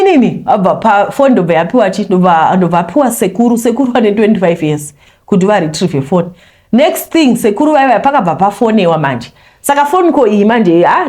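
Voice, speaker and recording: A Zimbabwean woman reading a listener's confession aloud and commenting on it, mixing Shona and English in an animated way. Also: medium pitch.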